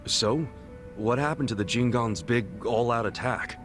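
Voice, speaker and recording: An actor playing a brash, good-hearted ex-yakuza in his forties for a video game, with a casual, blunt, slangy and expressive delivery. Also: mid-range voice, unhurried, over music, close to an everyday voice. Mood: wry, sympathetic. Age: 40s. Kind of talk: English video game voice acting.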